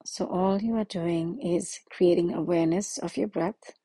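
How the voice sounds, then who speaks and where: A woman leading a guided meditation in a slow, soothing manner with long pauses. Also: fairly low pitch, steady tone, warm tone, slightly rough, no room sound.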